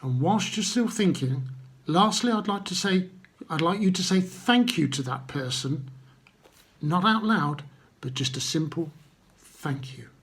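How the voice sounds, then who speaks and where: A man telling stories to children and addressing them gently, with simple, unhurried phrasing and guiding questions. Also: on the high side, animated, breathy edge. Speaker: children's Bible storyteller.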